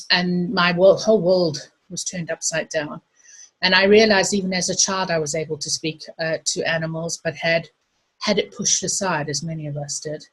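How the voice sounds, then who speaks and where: A woman's voice: deep voice, a bit bright, breathy edge, rough recording. A middle-aged woman with a South African accent, presenting a webinar in a casual, hesitant way with frequent ums. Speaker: webinar host.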